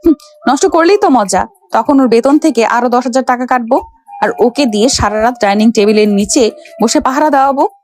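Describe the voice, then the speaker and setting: A woman's voice: a little high, brisk, a little mellow, natural voice. A woman playing a haughty, cruel young rich boss in an audio story, scolding and threatening imperiously. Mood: scheming. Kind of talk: Bengali audio story acting. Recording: background music under the voice.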